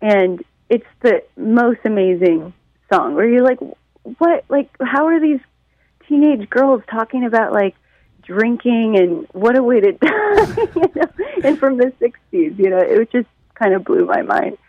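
An American woman from Detroit, a musician, answering interview questions in a casual, animated storytelling way with a creaky voice. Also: on the high side, measured pace, no room sound, lo-fi sound. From Detroit, United States. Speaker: musician and songwriter being interviewed.

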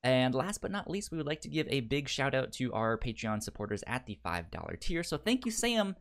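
Stuttering man with animated, swooping pitch. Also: a little fast, gravelly. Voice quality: frequent stutter, repeating sounds and words. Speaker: podcast co-host. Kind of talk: casual podcast chat about TV.

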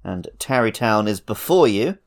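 A man's voice: on the low side, expressive, normal speed, creaky. A man co-hosting a podcast, chatting casually about video games in a conversational, explanatory way. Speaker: podcast co-host.

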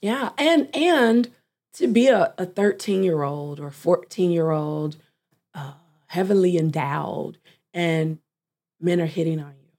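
A woman's voice: lowish voice, animated, takes it slowly, darkish tone. A woman therapist co-hosting a casual discussion, reflective, who often speaks in a client's voice to make a point. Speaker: therapist and co-host.

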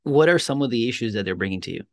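A man's voice: mid-range voice, animated, rapid-fire, gravelly, no room sound. A man hosting a podcast, asking friendly questions and rephrasing them as he goes. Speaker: podcast host. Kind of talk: casual interview hosting.

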